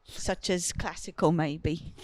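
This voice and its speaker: A woman from northern New Jersey, guesting on a comedy podcast, deadpan and playing along with absurd bits. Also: lowish voice, takes it slowly, no echo. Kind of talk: casual comedic chat.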